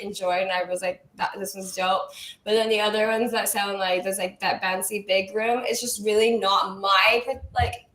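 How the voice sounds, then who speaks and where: A woman's voice: mid-range voice, fairly bright tone. A woman co-hosting an internet radio show in casual chat, rambling with many restarts and fillers. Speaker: radio co-host. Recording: roomy echo.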